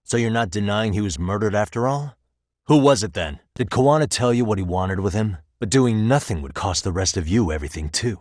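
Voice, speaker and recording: Deep-voiced, composed American detective. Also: male voice, a little mellow, no echo, studio quality. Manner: controlled, pointed, dryly sardonic questioning. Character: male detective, composed, probing, wry and tough. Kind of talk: video game voice acting, dramatic interrogation dialogue.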